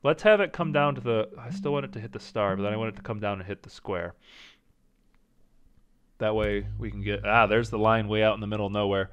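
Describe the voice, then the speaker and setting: A man casually commenting as he plays a strategy game, thinking aloud and second-guessing his choices, with a slight rasp and an occasional cough. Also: on the low side, fairly quick, a bit bright, highs cut off. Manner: thinking aloud, indecisive, self-talking. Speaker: gaming channel host. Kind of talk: casual let's-play commentary.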